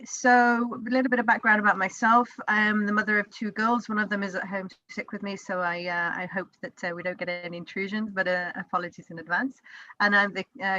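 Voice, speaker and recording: A woman's voice: mid-range voice, pushed and bright, no room sound, dull audio. A woman in her forties with a British accent, giving a chatty informal talk to an online networking group, full of fillers and restarts. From Israel. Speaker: company co-founder presenting to a networking group. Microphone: video call.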